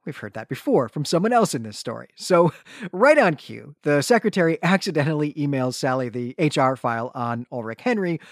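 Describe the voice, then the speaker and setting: A man's voice: fairly high pitch, no echo, studio quality. A man speaking as a podcast co-host, giving an articulate, enthusiastic and conversational recap and discussion.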